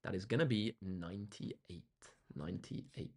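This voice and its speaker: Slightly hoarse young male maths tutor. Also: low voice, animated, measured pace. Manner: upbeat, chatty, reads out chat comments. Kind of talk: live-streamed exam walkthrough.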